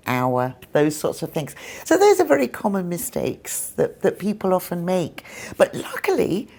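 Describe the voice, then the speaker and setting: A woman's voice: lowish voice, a little mellow. A woman hosting a live English-teaching show, explaining points with examples.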